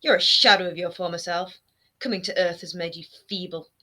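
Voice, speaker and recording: A woman voicing a spiteful, quick-tempered young man in a storybook reading, snapping and sneering as she speaks his lines. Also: fairly low pitch, fairly even intonation, bright, forceful tone. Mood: contemptuous. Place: home room lined with bookshelves. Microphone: webcam framing, seated close to camera.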